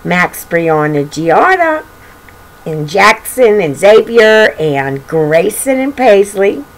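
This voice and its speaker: A woman's voice: medium pitch, big pitch swings, slow, rough recording. An older woman reading a picture book aloud to young children, with chatty, playful, singsong asides. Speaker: children's storybook reader and show host. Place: bedroom at home.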